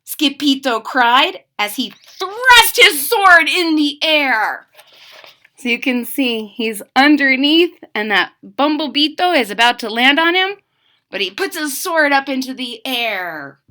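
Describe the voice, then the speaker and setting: A woman giving an expressive, dramatic children's storybook read-aloud, explaining things to young listeners. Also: high-pitched, takes it slowly. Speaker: storybook reader.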